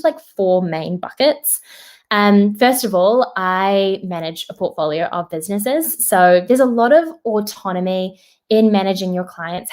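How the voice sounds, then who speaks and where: A woman's voice: medium pitch, a little mellow. A woman in her twenties with a New Zealand accent, talking upbeat and chatty about her work at a virtual careers session. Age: 20s. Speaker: digital strategist presenter.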